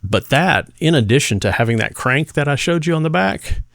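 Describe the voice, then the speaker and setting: A man giving a casual, conversational product demonstration as a gadget reviewer, speaking hesitantly with pauses mid-phrase. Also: a little high, lively intonation, vocal fry, a bit bright, dry recording, clean studio sound.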